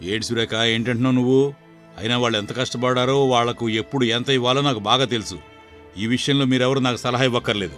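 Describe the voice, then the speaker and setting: A man voicing a proud, bossy village wedding cook in a cartoon moral story, speaking in a measured, authoritative way. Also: medium pitch, fairly even intonation, fairly bright tone, faint music behind, voice pushed down, lightly acted. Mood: stern, curt.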